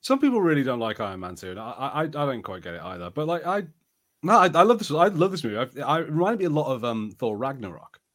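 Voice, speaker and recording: A man's voice: medium pitch, very animated, quick pace, fairly bright tone. A man co-hosting a podcast, chatting casually about films with an enthusiastic, conversational way of telling things. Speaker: podcast co-host. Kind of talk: casual review chat.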